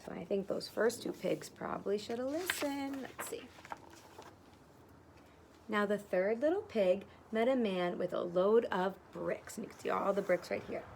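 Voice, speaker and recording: A woman's voice: mid-range voice, moderate pace, gravelly, darkish tone, slight background noise. A woman kindergarten teacher reading a picture book to young children and explaining it simply, outdoors on a porch.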